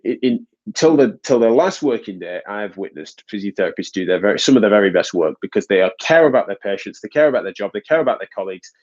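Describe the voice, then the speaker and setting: Low-voiced, nasal man, congested. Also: expressive, fast, darkish tone. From United Kingdom. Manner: rambling, frequent restarts. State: congested, stuffy nose. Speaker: podcast host and physiotherapist. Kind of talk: casual podcast monologue. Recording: dull lo-fi recording.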